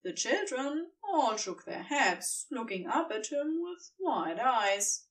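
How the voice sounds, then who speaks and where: A woman's voice: fairly high pitch, very animated, slow, fairly bright tone, far from the mic. A woman reading scripted fiction as a storybook narrator.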